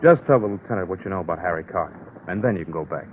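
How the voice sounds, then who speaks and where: Matter-of-fact cop, lowish voice, brief, vintage radio drama, narrow noisy sound. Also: male voice, expressive, rapid-fire. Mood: businesslike. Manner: brief, businesslike. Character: plain, matter-of-fact police detective. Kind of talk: 1950s radio drama acting. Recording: muffled, noisy old radio recording.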